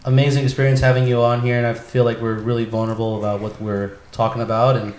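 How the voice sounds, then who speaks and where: A man's voice: mid-range voice, little pitch movement, fast talker, a little mellow. A man hosting a casual podcast chat, effusive and repetitive, with plenty of slang and swearing. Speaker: podcast host. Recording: echoey room, clear background noise.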